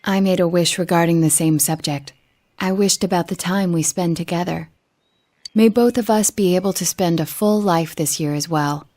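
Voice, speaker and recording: A woman's voice: on the low side, normal speed, dark tone, lightly acted. Actress as a reserved young female detective. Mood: earnest, gentle. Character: reserved young detective girl, thoughtful, modest and easily embarrassed. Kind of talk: video game dialogue acting.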